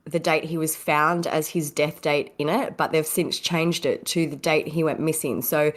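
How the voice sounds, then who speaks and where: A woman's voice: deep voice, fairly even intonation, moderate pace. A woman co-hosting a podcast, sounding congested from a cold, chatting casually with giggles and fillers. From Australia. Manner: giggly, rambling with many fillers. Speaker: podcast co-host.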